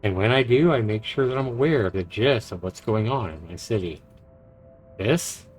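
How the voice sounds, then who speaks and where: A man's voice: fairly low pitch, expressive, moderate pace. A man who streams games, reading visual novel narration aloud and reacting to it. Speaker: game streamer. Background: game music.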